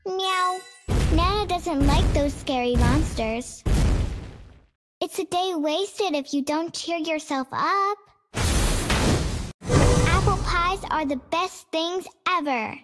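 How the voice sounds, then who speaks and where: A woman's voice: very high voice, slow, pauses often. A woman voicing a cute, playful little catlike girl in a video game, with a sweet, childlike, pleading manner. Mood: cheerful, playful. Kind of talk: video game character voice lines. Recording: loud game music behind the voice.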